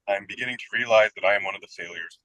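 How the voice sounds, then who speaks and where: A whimsical young American writer in a radio comedy, acted by a man. The voice is very bright and forward, recorded dry and close. He talks in wry, theatrical flourishes and happily pokes fun at himself. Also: on the low side, racing, dry recording, rough recording. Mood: wry, mock-dramatic. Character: self-deprecating, whimsical American male writer of twenty-six. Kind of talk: radio play comedy dialogue.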